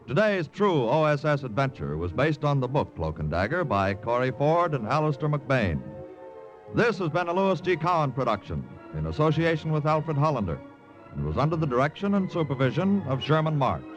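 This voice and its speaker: Animated American man, vintage radio announcer. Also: on the high side, normal speed, muffled recording, soft background music. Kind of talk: 1950s radio announcing, scripted credits.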